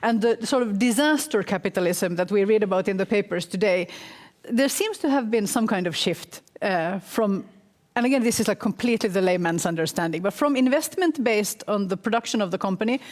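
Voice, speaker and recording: A woman's voice: medium pitch, animated, moderate pace. A woman moderating a panel discussion, introducing questions in a poised, articulate way.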